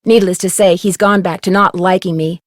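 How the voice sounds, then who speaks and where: A woman's voice: lowish voice, fairly even intonation, a little fast, no echo, studio quality, natural voice. A woman voicing a young amateur detective in a mystery game, polite and level-headed, telling things in an articulate, composed way. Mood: composed, wry. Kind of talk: scripted mystery game voice acting.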